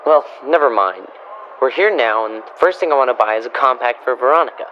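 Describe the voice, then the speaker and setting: An actor playing an excitable, scatterbrained teenage boy in an eager, exclaiming style of old radio comedy. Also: medium pitch, brisk, lo-fi sound, a little hiss or hum, natural voice. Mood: earnest, bustling. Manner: eager, exclaiming, gee-whiz wholesome.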